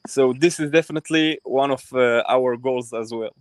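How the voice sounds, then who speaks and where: Man on a remote call, hesitant, lots of ums, crisp dry sound. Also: mid-range voice, moderate pace, no echo. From Israel. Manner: hesitant, many ums and restarts. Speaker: crypto project team member. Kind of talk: casual livestream chat.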